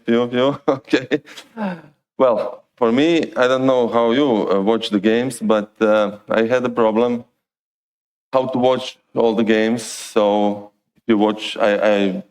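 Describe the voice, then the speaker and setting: A man's voice: medium pitch, darkish tone, smooth. A man with a Croatian accent giving an informal, hesitant talk to a conference. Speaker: conference lightning talk speaker. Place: conference stage, indoors.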